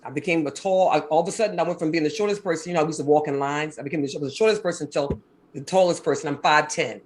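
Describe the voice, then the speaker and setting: Deep-voiced, fast-talking woman. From Maryland, USA. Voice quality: deep husky voice. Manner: fast-talking, animated storyteller. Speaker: interview guest. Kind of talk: casual interview chat.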